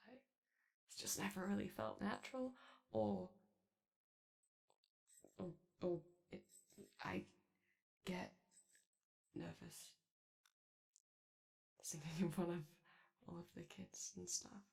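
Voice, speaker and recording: A woman performs a gentle animatronic daycare attendant, male and anxious, in a comfort ASMR roleplay. The voice is strained and heavily creaky, leaping widely in pitch. He talks slowly and haltingly, stammering and apologetic. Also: sing-song, exaggerated voice. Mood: flustered, unsure. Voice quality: strained, raspy, creaky, as if voice lost from yelling. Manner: hesitant, nervous, stammering, apologetic.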